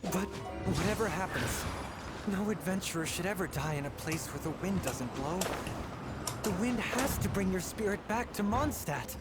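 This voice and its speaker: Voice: medium pitch, lively intonation, normal speed, lightly acted. Voice actor as an eager, earnest young adventurer. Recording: game audio with music behind.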